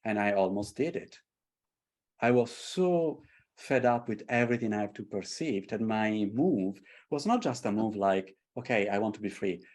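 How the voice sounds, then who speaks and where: A man's voice: fairly low pitch, moderate pace. A middle-aged man from Italy with a strong Italian accent, telling stories with passion in a casual conversation. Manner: passionate, animated storytelling. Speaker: artist and tarot creator. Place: London.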